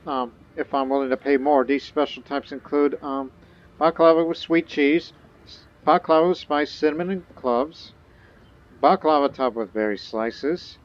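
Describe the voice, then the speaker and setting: A man's voice: a little high, normal speed, dull audio. A man thinking aloud and reading game text in casual, rambling gameplay commentary. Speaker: gaming video host.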